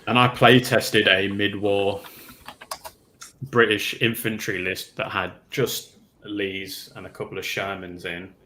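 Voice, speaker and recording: Low voiced guy giving his takes in a casual hobby chat, bit of noise behind him. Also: little pitch movement, slow pace, bright, forceful tone. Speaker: livestream panelist.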